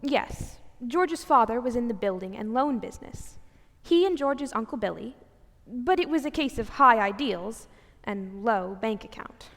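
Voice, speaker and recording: Radio drama narrator: actress as kindly female storyteller, slightly high voice, drenched in long reverb. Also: expressive, measured pace, fairly bright tone, exaggerated voice. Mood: patient, explanatory. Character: kindly storyteller recounting a man's life.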